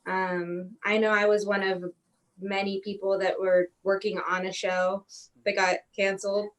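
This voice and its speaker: A woman's voice: medium pitch, little pitch movement, unhurried, distant mic. A woman chatting casually as a panelist, recorded away from the microphone. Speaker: roundtable panelist, theater artist. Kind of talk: casual chat.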